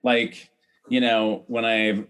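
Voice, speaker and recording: A man speaking as a podcast guest in a casual, earnest, explanatory way, often halting to correct himself. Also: on the low side, level tone, a bit bright, clean tone. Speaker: podcast guest, celebrity hair stylist. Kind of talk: casual conversational interview.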